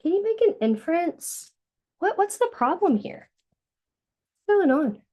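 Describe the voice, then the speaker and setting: A woman reading a picture book aloud to young children in an expressive storytelling manner. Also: extremely high pitch, measured pace.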